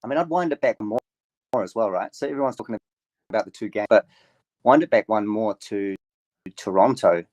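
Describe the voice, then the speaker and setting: A man guesting on a sports podcast over a remote call, rambling and halting as he reels off stats. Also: on the low side, darkish tone, dry recording, low-quality recording. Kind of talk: casual sports podcast chat. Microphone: remote call mic.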